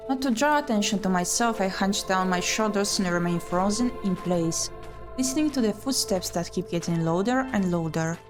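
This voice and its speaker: A woman's voice: mid-range voice, animated, normal speed. Woman narrating a story over music. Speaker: streamer reading a visual novel aloud. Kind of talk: dramatic first-person story narration.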